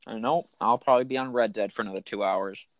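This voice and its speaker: A man with a raspy voice co-hosting a casual podcast, talking in an enthusiastic, joking, rambling way. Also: lowish voice, steady tone, fast talker, fairly bright tone, no echo. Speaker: podcast co-host. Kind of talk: casual chat.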